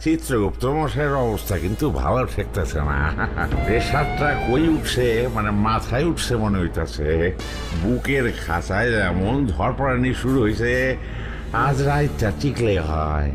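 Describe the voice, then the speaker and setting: A man's voice: fairly low pitch, animated, normal speed, close to an everyday voice. A man voicing a worried physician in a comic cartoon, solemn and cautioning, with an eastern Bengali accent. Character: worried male physician at the underworld court. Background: music.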